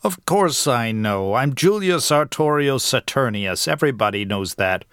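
A man's voice: mid-range voice, lively intonation, normal speed, a bit bright, clean tone, dry recording, clean studio sound, natural voice. A man voicing a grown man who has the mind of a confused eight-year-old, speaking with a childlike lilt. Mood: bewildered. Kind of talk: audiobook character reading.

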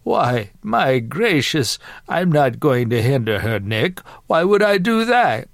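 A man's voice: medium pitch, very animated, takes it slowly, dry recording, studio quality, pitched above the performer's own voice. A man voicing a sassy, elderly grandmother in a story, teasing and blunt in a folksy, gossipy way. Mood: feigned innocence.

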